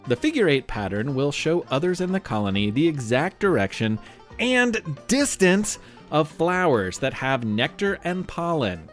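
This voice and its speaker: Animated male kids' show host over music. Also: a little high, measured pace. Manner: playful, theatrical storytelling with wry asides. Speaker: podcast host. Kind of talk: scripted narration for a children's history and quiz show.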